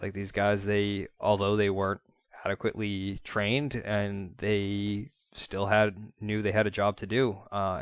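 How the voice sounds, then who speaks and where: A man giving an informal spoken debrief in an earnest, plain-spoken, halting manner, like a trainer talking to colleagues. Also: fairly low pitch, dry recording. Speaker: fire-rescue commentator and trainer.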